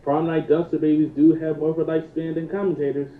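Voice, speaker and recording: A man's voice: a little high, flat delivery, fast talker, slight background noise. A man co-hosting a casual commentary chat in a blunt, mocking way. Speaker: commentary co-host. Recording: tinny phone-like line with echo.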